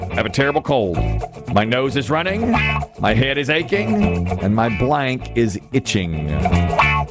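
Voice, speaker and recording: A man hosting a radio word game, joking with his co-hosts, laughing often and quizzing callers. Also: medium pitch. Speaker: radio show host.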